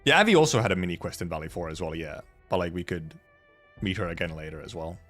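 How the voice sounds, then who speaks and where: A man's voice: deep voice, lively intonation, fast talker, a bit bright, soft background music. A man chatting casually on a game livestream, rambling and repeating himself as he talks. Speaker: game streamer.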